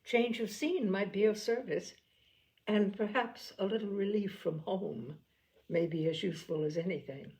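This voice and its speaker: A woman voicing a sensible, kindly middle-aged aunt in an audiobook, speaking in a calm, reasoning way. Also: mid-range voice, normal speed, close to an everyday voice. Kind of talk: audiobook character voice.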